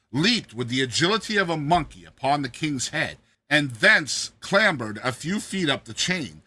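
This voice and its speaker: A male narrator reads a classic tale with very bright, forceful projection. His pitch sits a bit high and moves around in a lively way, and his phrasing is deliberate and theatrical. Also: breathy edge. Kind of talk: dramatized reading of a classic short story.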